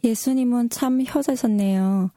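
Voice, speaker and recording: A woman's voice: on the high side, a little slow, mellow, dry recording. A woman in a prepared-sounding Bible discussion, politely restating and reflecting as a co-host. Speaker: co-host discussing scripture with a pastor.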